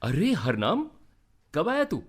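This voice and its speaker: A man's voice: on the high side, wildly swooping pitch, slow pace, slightly rough, heavily acted. An actor in a radio play as a curious, familiar younger brother, questioning his elders and friends. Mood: friendly curiosity.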